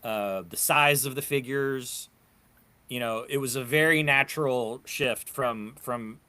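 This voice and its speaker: Bright-voiced man in halting fan chat. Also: mid-range voice. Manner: halting, repeating words mid-thought. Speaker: panelist. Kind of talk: casual fan discussion of toys and comics.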